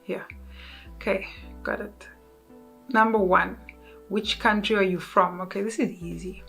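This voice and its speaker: A chatty South African woman in her thirties talks to her viewers in a relaxed, upbeat way, often restarting and correcting herself. Her voice sits at an ordinary middle pitch, and faint music plays underneath. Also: measured pace, vocal fry. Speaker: YouTuber. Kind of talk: casual vlog chat.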